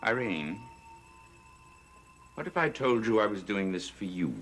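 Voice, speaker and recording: An actor playing a sly, scheming middle-aged warlock in a 1960s soap opera, with a smooth, insinuating manner. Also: male voice, fairly low pitch, expressive, a little slow, a bit bright. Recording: old TV soundtrack with music. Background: music.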